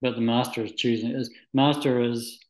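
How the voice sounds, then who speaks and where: Man, mid-pitched voice, halting reflective delivery, conversational interview answers, roomy echo. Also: steady tone. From Scotland, moved to New Zealand at nine. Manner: halting, thoughtful, searching for words. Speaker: interview guest, spiritual practitioner. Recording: echoey room.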